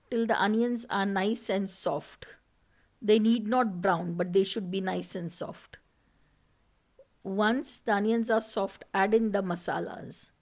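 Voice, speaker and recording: A woman from India, narrating a recipe step by step as a cooking channel host over her footage. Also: mid-range voice. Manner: slow, step-by-step instructing.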